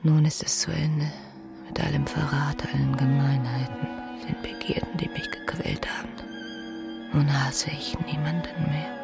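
An actress in a radio drama playing a dying young wife, tormented yet gentle, with a breathy, halting voice. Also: female voice, deep voice, fairly even intonation. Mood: resigned. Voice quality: breathy, whispering. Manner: faint, halting, drawn-out words. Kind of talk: literary radio drama acting. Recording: music behind the voice.

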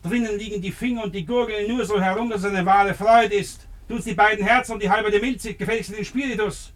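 An actor playing an officious chief dissector in a radio play, fawning to superiors and imperious to underlings. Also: male voice, high-pitched, fairly even intonation, brisk, dry recording, lightly acted. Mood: scolding. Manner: fawning, officious, snapping at inferiors. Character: obsequious chief dissector, tyrant to his underlings. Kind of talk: German radio play acting.

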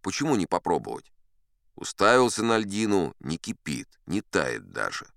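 A man's voice: low voice, sing-song, normal speed, gravelly, a bit bright, no room sound, clean studio sound, full character voice. An actor playing a boastful old sea captain, telling his tall tales in a folksy, self-assured, conversational way. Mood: wry, unhurried. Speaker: audiobook performer narrating in character. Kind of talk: dramatic first-person storytelling.

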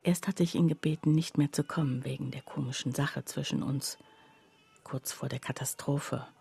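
A woman narrating a literary radio play in the first person, in a measured, hushed, reflective way. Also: rumbling low, fairly even intonation, creaky, breathy edge, heavily acted.

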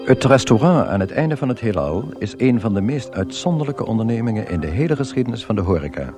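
A man playing a deadpan, measured narrator of cosmic absurdities in a science-fiction comedy radio play. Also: mid-range voice, music in the background, close to an everyday voice.

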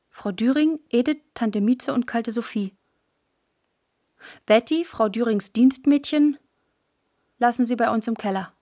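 A woman with a fairly high voice reads literary prose. It is a scripted, poetic narration for radio. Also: a little mellow.

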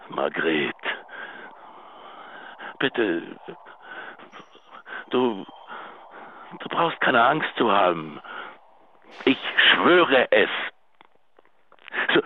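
An actor playing a pleading, lovesick, self-pitying husband leaving a halting, intimate voicemail in a radio drama. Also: male voice, mid-range voice, breathy edge, no room sound, exaggerated voice. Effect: telephone voicemail filter. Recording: tinny narrow phone line.

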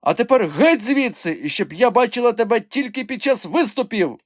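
A man voicing an excitable, imperious female circus director who scolds in an audiobook story. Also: high voice, brisk, no echo, clean studio sound, pitched above the performer's own voice. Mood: furious, scolding.

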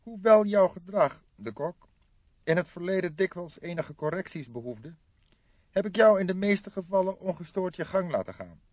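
Casting: male reader playing pompous police chief, raised pitch, affected solemn phrasing with weighty pauses, dry sound. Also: animated, a little mellow, no echo, highs cut off. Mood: solemn, formal. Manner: affected, solemn, pausing for effect. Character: pompous, affected male police commissioner, formal and self-important. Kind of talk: audiobook character dialogue.

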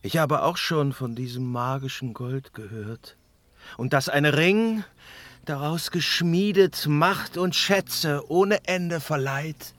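An actor playing a proud, impatient chief god in a fantasy radio drama, grave and authoritative with exasperation. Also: male voice, a little high, slow pace, a bit bright, dry recording. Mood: intrigued.

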